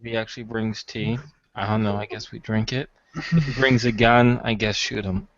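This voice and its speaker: A man's voice: on the low side, steady tone, normal speed, fairly bright tone, dull audio. A man chatting casually as a player in a tabletop role-playing game, describing what his character does.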